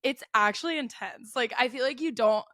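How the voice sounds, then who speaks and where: A woman in her twenties hosting a podcast, talking casually with friends, filling her speech with "like" and sounding a little raspy. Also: on the high side, brisk, sharp tone, no room sound, clean studio sound. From United States. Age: 20s. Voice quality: a bit raspy. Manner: chatty, says "like" constantly. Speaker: podcast host. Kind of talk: casual chat.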